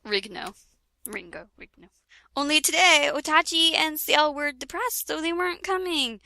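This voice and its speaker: High, bright-voiced woman reading aloud, giggly. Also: moderate pace, dry recording. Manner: giggly, often breaking off from the reading to comment. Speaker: reader hosting a comedic fan fiction reading. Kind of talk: casual, jokey read-aloud with ad-libbed asides.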